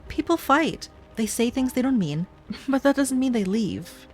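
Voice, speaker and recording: A woman playing an obsessive, sweetly pleading ex-girlfriend in an intimate audio roleplay, speaking softly and haltingly. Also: sits high, animated. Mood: wistful, pleading. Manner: soft, halting, wistful, with sighs and nervous little laughs. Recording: music under the voice.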